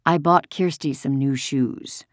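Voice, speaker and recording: A woman's voice: a bass voice, measured pace, no echo, clean studio sound. A woman voicing a patient, slightly exasperated mother, explaining soothingly in an audiobook. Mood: weary. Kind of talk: acted children's audiobook dialogue.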